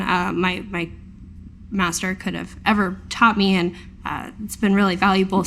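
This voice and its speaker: A woman acting as a thoughtful, humble tower keeper in a tabletop game. She speaks earnestly and haltingly, feeling for each word in a middle-pitched female voice. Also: natural voice. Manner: halting, searching for words.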